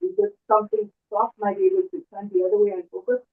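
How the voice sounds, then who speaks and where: A woman speaks over a thin, phone-like call line. Her pitch sits in a middle range. She sets out her points in an earnest, measured way, like someone used to policy discussions. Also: big pitch swings, soft background music. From Nigeria. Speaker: audience member asking a question. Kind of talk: video call panel question. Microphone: video call. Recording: tinny video call audio.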